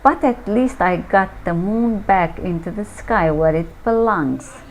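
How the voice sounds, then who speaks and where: A woman's voice: mid-range voice, measured pace, very noisy, lightly acted. A woman voicing a wily, self-satisfied male trickster from a folktale, explaining absurd things with patient solemnity.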